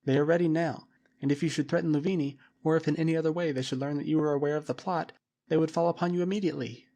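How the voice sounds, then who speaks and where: A man's voice: a little high, steady tone, fast talker, mellow, pitched above the performer's own voice, close to an everyday voice. A man voicing an earnest young boy who urgently warns and pleads.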